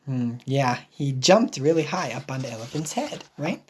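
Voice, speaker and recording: Female story reader for children: playful, hushed, animated asides in a teacherly read-aloud. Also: a bass voice, background noise. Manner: quick, hushed narrating asides. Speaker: English teacher reading aloud.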